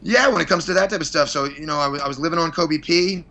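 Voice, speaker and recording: A man with a bright, forceful voice talks as a guest in a casual interview. He rambles freely, states his opinions bluntly and lets mild swearing slip in. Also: fairly high pitch, fast, vocal fry. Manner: blunt, opinionated, mildly profane. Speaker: podcast guest, fight promoter.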